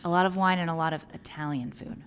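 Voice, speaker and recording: A woman's voice: fairly low pitch, steady tone, quick pace, a loud crowd. A woman in her late thirties, an interview guest chatting informally and candidly as she explains her work. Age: late 30s. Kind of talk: casual conversational explaining. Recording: reverberant room with faint noise.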